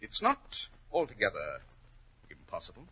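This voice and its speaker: An actor playing a commanding, coolly confident detective in a 1940s radio drama, with crisp, formal, dryly ironic delivery. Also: male voice, fairly low pitch, huge pitch swings, moderate pace, heavy background noise. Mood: measured. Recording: old 1940s radio recording.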